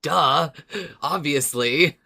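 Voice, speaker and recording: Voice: medium pitch, extremely slow, vocal fry, bright, forceful tone. Playful podcast co-host in casual chat. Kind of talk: casual fan discussion of an audio drama.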